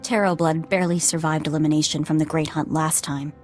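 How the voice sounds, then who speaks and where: An actress plays a determined young woman working as a bounty hunter's handler in a game drama. Her voice sits low, earnest and urgent, carrying grief, with soft music behind it. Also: little pitch movement, fairly quick, close to an everyday voice. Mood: urgent, resolute. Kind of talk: video game voice acting.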